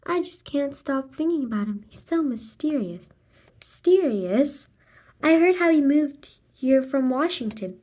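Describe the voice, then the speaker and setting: A woman reading a children's graphic novel aloud expressively, acting out the dialogue and sound words in a deliberate way. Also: high-pitched, animated, dark tone. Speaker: read-aloud narrator.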